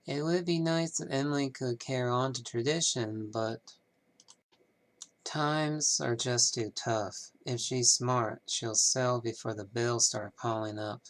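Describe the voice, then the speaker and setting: Slow-talking, formal small-town banker played by a man. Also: medium pitch, fairly even intonation, natural voice. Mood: reflective. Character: male 1930s small-town banker, polite, businesslike, wistful. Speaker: amateur voice actor. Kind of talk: scripted game character dialogue.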